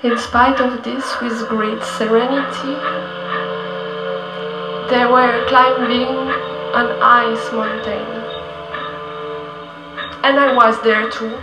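A woman in her thirties from Belgium telling a story as slow spoken word, in a dreamlike, deliberate manner with long pauses between short phrases. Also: on the high side, level tone. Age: 30s. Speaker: sound artist performing. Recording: roomy echo under loud music.

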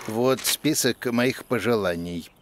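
A man voicing a gloomy, fussy chameleon actor in a children's cartoon, grumbling in a deadpan way. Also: medium pitch, slow pace, background noise, lightly acted. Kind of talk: animated children's show acting.

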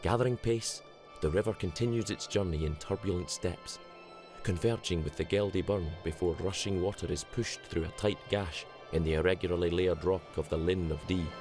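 A man gives steady, scripted documentary narration. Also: low voice, moderate pace. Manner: steady, descriptive. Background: music.

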